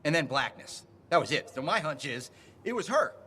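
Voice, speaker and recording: A man's voice: fairly high pitch, sing-song, moderate pace, bright, forceful tone, slight background noise. An actor playing a clueless, over-friendly, shameless man in a video game, rambling casually and sure of himself. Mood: puzzled, chummy. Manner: rambling, casual, self-assured. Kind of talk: video game character dialogue.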